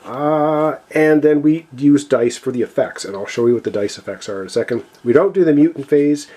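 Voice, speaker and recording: A man's voice: medium pitch, fairly quick, dark tone. A man giving a casual board game tutorial, talking viewers through the setup step by step in an explanatory way. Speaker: board game player and presenter. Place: indoors at a gaming table.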